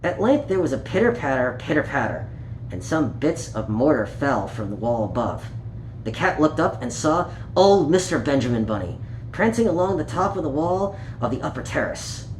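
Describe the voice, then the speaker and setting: A man's voice: a little high, sing-song, moderate pace. A man reading a children's story aloud in a playful, child-directed way, chatting to his young listeners as he goes. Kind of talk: read-aloud children's picture book with playful asides. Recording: roomy home recording with faint noise.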